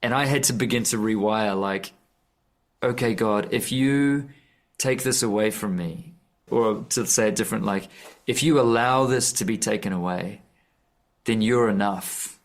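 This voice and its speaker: A man's voice: on the low side, measured pace. Earnest man, searching and self-correcting. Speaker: musician and author, interview guest. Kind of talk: reflective conversational interview. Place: radio studio.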